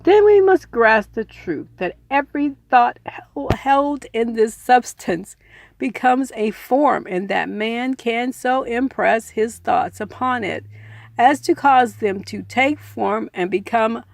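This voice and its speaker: A woman's voice: fairly high pitch, lively intonation, takes it slowly, creaky, dry recording. A woman reading a book aloud earnestly, in an informal audiobook style with personal asides. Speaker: reader and commentator.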